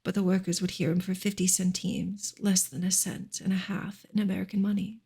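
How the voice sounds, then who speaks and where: Soft asmr lady reading a novel, super breathy, bit of creak in it, almost whispering. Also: medium pitch, little pitch movement, a little fast. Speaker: reader, ASMR creator.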